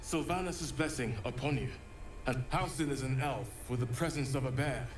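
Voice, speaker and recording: A man's voice: mid-range voice, normal speed, close to an everyday voice. An actor playing a bitter man, a druid of the grove, who speaks in a grim, clipped way, from a video game. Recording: echoey game audio with music.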